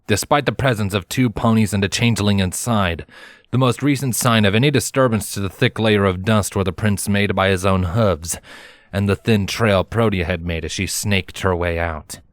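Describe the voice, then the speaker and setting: Low-voiced man narrating fiction. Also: normal speed, no echo. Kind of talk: dramatic scripted fiction narration.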